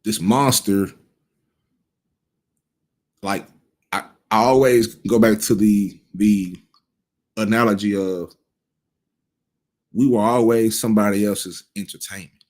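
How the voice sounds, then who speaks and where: A man's voice: lowish voice, fairly even intonation, takes it slowly, long pauses, a little mellow. A man in his thirties giving casual cultural commentary, blunt and profane, rambling with frequent restarts. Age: 30s.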